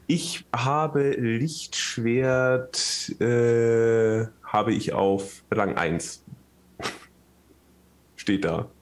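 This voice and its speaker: A man chatting casually as a player in a tabletop game, hesitant and halting as he works things out. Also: medium pitch, poor audio.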